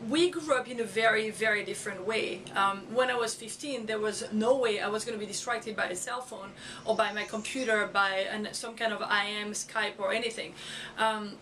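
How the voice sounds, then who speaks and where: A woman with a French accent, a school educator talking casually to her camera in a halting way, with many fillers and restarts. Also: mid-range voice, a bit bright, recorded from a distance, slight background noise, poor audio. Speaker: school educator and video blogger. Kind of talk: casual vlog talk to camera. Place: outdoors or home setting in Florida. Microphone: camera mic.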